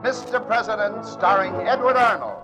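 A man's voice: high voice, lively intonation, moderate pace, low-quality recording. A man announcing an old-time radio show in a grand, declamatory scripted style. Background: loud music.

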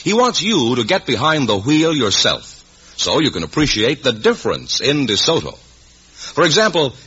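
Man, American, vintage radio announcer, animated pitch, emphatic sales delivery, muffled old recording. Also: normal speed, slightly rough. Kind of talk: scripted 1950s radio announcing and advertising.

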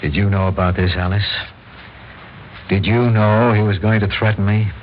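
An actor with an American accent playing a proud, embattled district attorney with a clipped, questioning manner in classic radio drama style. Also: male voice, on the low side, steady tone, normal speed, natural voice. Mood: tense, demanding. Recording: muffled old radio recording, faint hiss.

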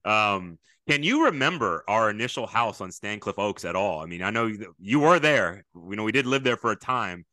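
A man's voice: fairly low pitch, lively intonation, moderate pace, few pauses, pushed and bright, no echo. A man in his late thirties hosting a casual interview, chatting in a reminiscing way and building long, winding questions. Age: late 30s. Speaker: podcast host, football coach.

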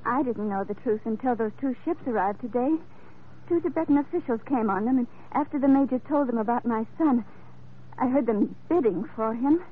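A woman's voice: fairly high pitch, brisk, narrow, tinny audio, close to an everyday voice. An actress playing an anxious, pleading young mother in an old-time radio drama. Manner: pleading, earnest. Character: earnest, anxious young mother seeking help. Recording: thin vintage radio recording, faint hiss.

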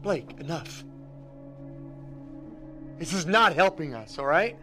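A man's voice: on the high side, big pitch swings, slow pace, bright, forceful tone, soft background music, exaggerated voice. A man playing an earnest, peacemaking group leader in an audio drama, insistent and reassuring. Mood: firm, calming.

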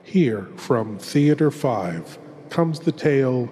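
A man playing a suave, ominous horror host, introducing old-time radio terror with theatrical, deliberate pauses. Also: medium pitch, expressive, warm tone. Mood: grave, ominous. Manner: slow, dramatic, deliberate pauses. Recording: long cavernous reverb.

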